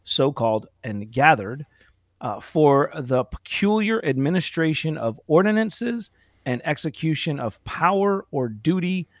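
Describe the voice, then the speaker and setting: A man co-hosting a church study show, slightly hoarse from preaching outdoors, reading passages aloud and stopping to clear his throat. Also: on the high side, sing-song, measured pace, dry recording. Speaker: co-host discussing a church confession. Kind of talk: Bible study discussion show.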